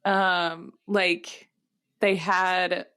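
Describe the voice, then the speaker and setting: A woman's voice: on the low side, little pitch movement, extremely slow, fairly bright tone, clean tone. A woman co-hosting a podcast in casual, self-deprecating chat. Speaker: podcast co-host.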